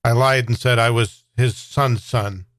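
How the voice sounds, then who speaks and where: A man's voice: medium pitch, fairly even intonation, unhurried, fairly bright tone, dry recording. A man playing his character in a tabletop role-play game, talking plainly and a little unsure. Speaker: player.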